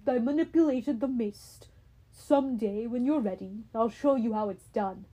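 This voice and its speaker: A woman voicing a wise old centaur teacher who speaks calmly and kindly. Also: a little high, normal speed, lightly acted, low-quality recording.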